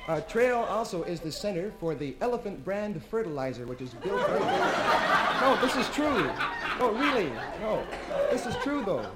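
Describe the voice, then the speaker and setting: A man's voice: high voice, sing-song, moderate pace, a loud crowd. A man from western Canada bantering on a live stage, boasting playfully and insisting his jokes are true. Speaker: folk group singer. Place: live stage before an audience. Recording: roomy live recording with loud noise.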